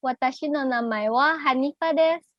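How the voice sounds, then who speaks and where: A woman's voice: sits high, normal speed, no room sound. A woman repeating practice phrases as a participant in a language lesson. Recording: dull lo-fi webinar audio.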